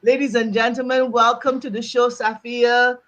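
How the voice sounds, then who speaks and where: A woman's voice: a little high, level tone, moderate pace, fairly bright tone, muffled recording. A woman hosting a live-streamed interview, building up her guest with emphatic showman introductions. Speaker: show host.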